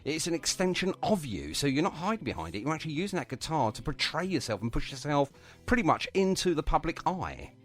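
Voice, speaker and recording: A man's voice: a little high, very animated, quick pace, few pauses, faint music behind. A man hosting a casual radio interview, chatting in a wordy, encouraging way. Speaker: radio show host.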